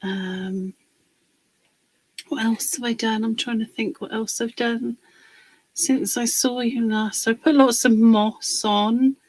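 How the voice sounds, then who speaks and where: A woman, slightly hoarse from a cold, chatting casually on a livestream while she works on a craft project, pausing with fillers. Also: a little high, fairly even intonation, darkish tone, dry recording, poor audio. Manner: chatty, rambling, pauses with fillers while working.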